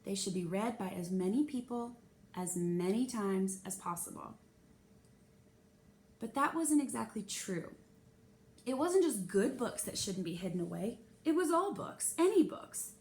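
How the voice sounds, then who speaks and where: A woman's voice: medium pitch, animated, a little slow, a little mellow. A woman reading a children's novel aloud expressively, narrating in the first person. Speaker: storybook reader.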